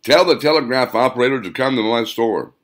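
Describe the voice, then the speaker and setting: A man's voice: mid-range voice, fairly quick, voice pushed up, natural voice. A man voicing a small, frail, eager storekeeper who gives urgent orders in an audiobook western. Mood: urgent, commanding.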